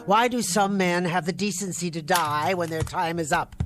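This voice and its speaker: An actress playing a bitter, vengeful niece with an icy, sarcastic calm in a radio-style drama. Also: female voice, lowish voice, normal speed, close to an everyday voice. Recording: faint music score behind.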